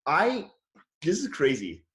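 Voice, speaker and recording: A man's voice: on the high side, wildly swooping pitch, slow pace, a bit bright. Chatty enthusiastic man in an echoey room. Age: late 30s. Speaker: host, actor.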